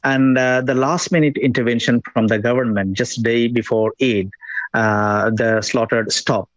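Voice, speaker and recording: A Bangladeshi man, a Bengali-speaking journalist, gives a live report in halting, unscripted phrases. His mid-pitched voice comes over a muffled, lo-fi link with little room sound. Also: steady tone, no echo. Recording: dull lo-fi remote link.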